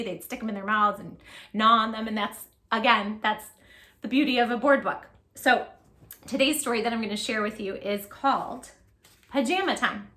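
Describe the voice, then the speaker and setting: A woman in her forties reading a picture book aloud at home, sing-song and animated, asking the listener questions. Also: mid-range voice, reverberant. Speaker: children's book reader. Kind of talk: read-aloud with tips for parents. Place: home room with posters.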